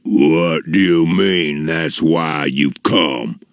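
Huge lumbering giant, very slow drawn-out words, menacing but lonely, storybook character, dry close sound. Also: male voice, extremely low pitch, no room sound, exaggerated voice. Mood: confused. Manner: slow, drawn-out, ponderous delivery. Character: huge grimy male giant, menacing yet lonely and sorrowful.

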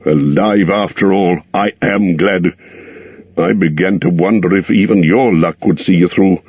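A man voicing an old male wizard who speaks in a grave, measured way. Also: sits low, breathy edge, no room sound. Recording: dull lo-fi recording.

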